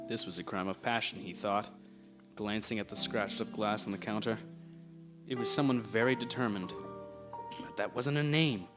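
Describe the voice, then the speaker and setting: A man's voice: on the low side, natural voice. A man narrating a scripted detective radio drama as a solemn storyteller. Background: music.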